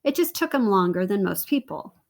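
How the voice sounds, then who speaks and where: A woman reading a children's story aloud with expressive narration. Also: medium pitch, fairly quick, vocal fry. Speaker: storybook reader.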